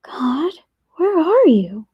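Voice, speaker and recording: A woman voicing a curious young girl in a storybook, asking earnest questions in a hushed, wondering way. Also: high voice, very animated, drawn-out words, no echo, lo-fi sound, pitched above the performer's own voice, heavily acted. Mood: hushed, searching.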